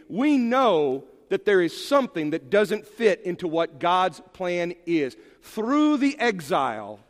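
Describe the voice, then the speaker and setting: Animated preacher in a reverberant hall. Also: male voice, high-pitched. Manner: animated, emphatic preaching with sudden drops to quiet. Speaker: pastor. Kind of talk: sermon.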